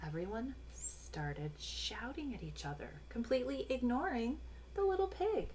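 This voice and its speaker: A woman in her forties reading a picture book aloud to young children, pausing to explain in an instructive way. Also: fairly high pitch, lively intonation. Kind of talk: storybook read-aloud with explanatory asides. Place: small room at home. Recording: noisy home webcam recording.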